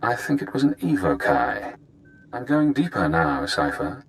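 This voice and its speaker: A man voicing a cunning, analytical former spy in a video game, speaking in measured, clipped, clinical phrases. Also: low voice, big pitch swings, smooth, exaggerated voice. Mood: cool, calculating. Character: cunning imprisoned former spy, cool, analytical, morbidly admiring.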